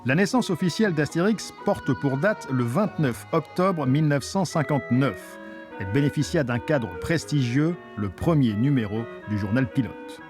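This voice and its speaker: A man's voice: mid-range voice, expressive, moderate pace, over music. A man reading a scripted documentary narration as its narrator.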